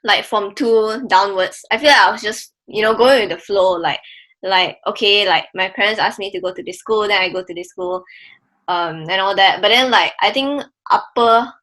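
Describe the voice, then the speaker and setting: A woman's voice: mid-range voice, moderate pace, a bit bright, low-quality recording. A woman in her twenties with a Malaysian accent, chatting casually on a podcast with lots of filler words. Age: 20s. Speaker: podcast co-host.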